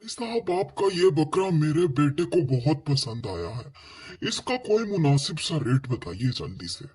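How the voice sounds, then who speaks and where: A man playing a patient, practical father in a children's cartoon, giving gentle instructions in a rough voice. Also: on the high side, very animated, a little slow, exaggerated voice. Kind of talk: Hindi children's cartoon dialogue. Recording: music under the voice.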